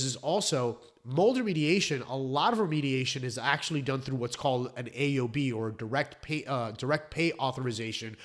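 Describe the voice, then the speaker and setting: A hoarse man who founded a restoration company, explaining his trade in a chatty vlog with fillers and restarts. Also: mid-range voice. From South Florida.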